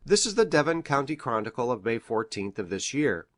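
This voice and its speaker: An earnest country doctor reads aloud in a classic mystery drama. His medium-pitched voice is formal and grave, with measured storytelling phrasing. The sound is dry and free of echo. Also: sing-song, a bit bright. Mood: brisk, earnest.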